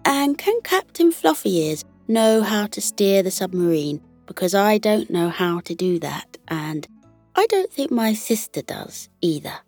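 A woman's voice: medium pitch, very animated, measured pace, darkish tone, soft background music. An eager small boy making a wish in a bedtime storybook, as a woman reads him, simple words rising and falling unhurriedly. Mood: hopeful. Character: young boy, eager, imaginative, a little unsure.

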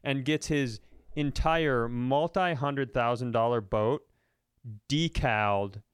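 Blunt American man with a clean, smooth voice. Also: mid-range voice, takes it slowly, no echo. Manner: profane, blunt, mocking. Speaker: comedy podcast co-host. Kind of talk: casual comedic chat and ranting.

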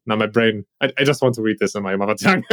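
A man's voice: medium pitch, big pitch swings, brisk, fairly bright tone, dry recording. Chatty man, dry sound. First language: German. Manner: friendly, chatty, laughs easily. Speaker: podcast host. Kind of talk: casual podcast chat.

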